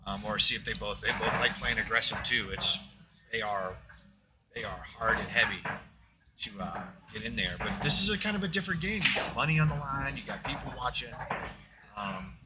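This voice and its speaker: A man calls a live pool match from a booth beside the tables. He talks in a loose, rambling way, full of fillers and restarts, with a voice of middling pitch. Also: animated, a little fast, very noisy. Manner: rambling, filler-heavy, talks of his loud voice. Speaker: pool commentator. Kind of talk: live stream commentary.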